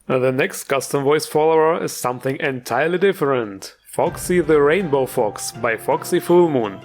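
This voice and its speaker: A man's voice: fairly high pitch, expressive, normal speed. A man narrating a jokey, scripted gaming review as host, playful and tongue-in-cheek. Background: music.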